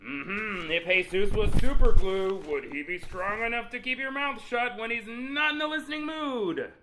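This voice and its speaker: A man's voice: high-pitched, moderate pace, bright, forceful tone, slight background noise, rough recording. A man playing a flamboyant, pushy dating show announcer with exaggerated, dramatic delivery. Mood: teasing, showy. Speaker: game show host. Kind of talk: theatrical game show announcing.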